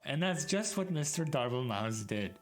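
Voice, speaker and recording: A man's voice: medium pitch, sing-song, normal speed. A man doing a dramatic storybook reading in a theatrical, mock-grand way. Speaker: streamer reading a story aloud. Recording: background music mixed under voice.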